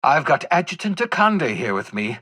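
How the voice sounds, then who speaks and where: An older man acting as an embittered, desperate renegade scientist in a video game, with a raspy voice and a theatrical, sarcastic, erudite manner. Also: fairly high pitch, big pitch swings, moderate pace, pushed and bright, heavily acted. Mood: frantic, desperate.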